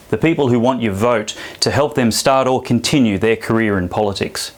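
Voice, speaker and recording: A man giving an earnest, scripted address to viewers as a political commentator, urging them to act. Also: mid-range voice. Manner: earnest, persuasive, urging action.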